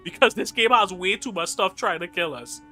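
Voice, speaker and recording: A young man from Trinidad and Tobago with a Trinidadian accent chats loosely while playing a game on stream. His voice sits a little high and rings very bright. He jokes and reads viewer messages aloud over faint game music. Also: expressive, moderate pace. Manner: chatty, joking, reads chat aloud. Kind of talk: casual live-stream gaming chat.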